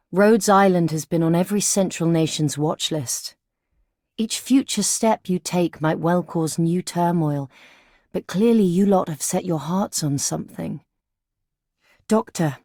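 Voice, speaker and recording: A woman's voice: on the low side, mellow, no echo, clean studio sound, natural voice. A woman voicing a composed, resolute stateswoman in a scripted video game line.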